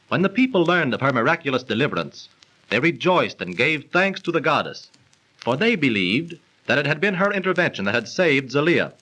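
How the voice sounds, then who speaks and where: An actor playing a skeptical storyteller in didactic 1930s radio style, narrating and explaining. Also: male voice, medium pitch, bright, forceful tone, rough recording.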